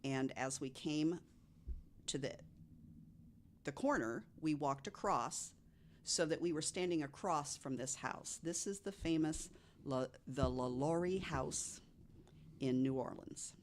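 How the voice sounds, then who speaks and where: A low-voiced woman recounts tales in a conversational podcast style. She phrases things deliberately and leans on key words for emphasis. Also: slight background noise. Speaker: podcast guest storyteller. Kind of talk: conversational storytelling of ghost stories.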